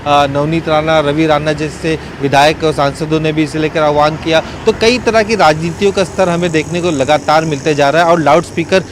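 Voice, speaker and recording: Guy reporting live from outside, highish voice, kinda halting, bit of street noise behind. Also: steady tone. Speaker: field news reporter. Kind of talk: live unscripted news report. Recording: faint outdoor street and traffic noise.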